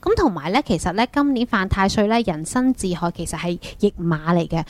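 A woman's voice: on the low side, expressive, brisk, darkish tone, no echo. A woman chatting as a guest analyst, explaining forecasts step by step and posing questions she then answers. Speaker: guest zodiac and feng shui analyst. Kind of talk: explanatory chat, giving zodiac forecasts.

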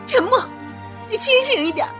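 A woman's voice: very high-pitched, expressive, moderate pace, over music. A woman playing an emotional, weary woman in her thirties, torn over forbidden love, in a melodramatic audio drama. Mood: distressed, pleading. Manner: emotional, sighing, resigned. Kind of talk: Chinese amateur audio drama, melodramatic acting.

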